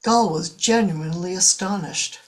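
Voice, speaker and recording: A woman with a husky voice reading scripted story narration for a radio drama. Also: fairly low pitch, normal speed.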